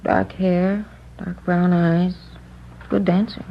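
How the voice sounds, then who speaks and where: A woman's voice: lowish voice, level tone, takes it slowly, creaky. An actress playing a talkative woman clerk in a 1950s radio crime drama, speaking to detectives about a murdered friend. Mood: chatty. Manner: talkative, confiding, a little gossipy. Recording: dull old radio broadcast recording.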